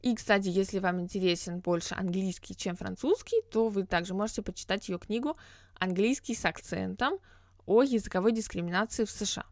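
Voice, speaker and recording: A woman's voice: medium pitch, brisk, darkish tone, no room sound, studio quality. A woman hosting a podcast, talking through ideas on her own in an explanatory, reflective way with frequent hesitation sounds. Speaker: podcast host. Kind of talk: solo podcast monologue.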